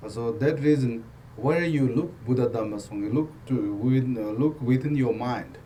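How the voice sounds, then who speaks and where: Brief: male Buddhist teacher, Tibetan accent, mellow voice, plain broken English, light hiss behind. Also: medium pitch, normal speed. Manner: broken English, repetitive, gently joking. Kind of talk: dharma teaching talk.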